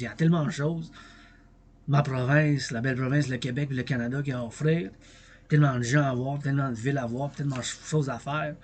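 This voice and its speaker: A man from Quebec with a Québécois accent, rambling on a livestream in French and English, repeating himself and breaking into song. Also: medium pitch. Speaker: vlogger. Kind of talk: bilingual rambling livestream monologue.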